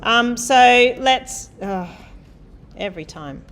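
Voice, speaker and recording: A woman giving a live coding lecture, talking loudly and quizzing the class as she types. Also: on the high side, expressive, takes it slowly. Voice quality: loud voice. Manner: chatty, asks the class questions, thinks aloud while typing. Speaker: university programming lecturer. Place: lecture hall.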